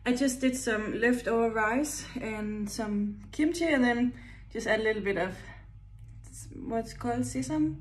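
A woman's voice: fairly high pitch, measured pace. A young woman in her twenties with a Danish accent, chatting casually in a vlog, often laughing and hunting for English words. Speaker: vlogger, gym worker. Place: kitchen at home, indoors. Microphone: phone at arm's length.

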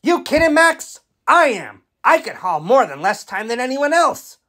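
A woman's voice: high-pitched, big pitch swings, normal speed, fairly bright tone, full character voice. A woman voicing a brash, competitive, impatient dump truck in a children's cartoon, pushy and taunting. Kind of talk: animated children's show character acting.

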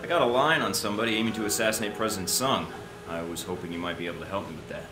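An actor playing a cocky young secret agent in a video game, terse and dry. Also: male voice, on the low side, rapid-fire, over music, lightly acted. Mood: businesslike, impatient. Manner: dry, curt, understated. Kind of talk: video game cutscene acting.

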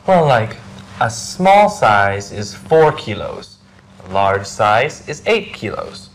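A young man acting out simple English lesson dialogues in a clear, deliberate voice. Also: lowish voice, big pitch swings, a little hiss or hum, rough recording. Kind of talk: slow scripted classroom dialogue.

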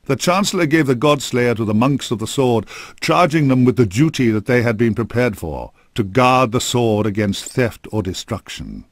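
A man's voice: medium pitch, animated, normal speed, dry recording. A man narrating a fantasy game from a script in a solemn, formal storytelling manner, close on a studio microphone.

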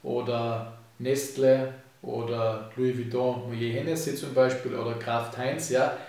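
A man, casually explaining finance to camera in a relaxed way, full of fillers. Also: medium pitch, a little slow. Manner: relaxed, frequent fillers and "eben", hand gestures. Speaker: finance YouTuber. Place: home office with bookshelves, indoors. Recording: echoey room, distant mic.

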